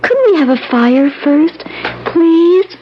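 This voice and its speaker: A faintly noisy, dull vintage radio drama with a timid teenage bride. Her voice is extremely high and girlish, with a hesitant, pleading way of speaking. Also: big pitch swings, slow, full character voice. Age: young adult. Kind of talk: old-time radio drama acting.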